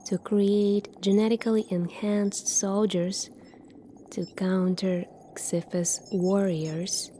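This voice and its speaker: A woman performing an ASMR sci-fi roleplay as a calm, secretive military doctor, speaking softly and deliberately, at times near a whisper. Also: mid-range voice, slight background noise.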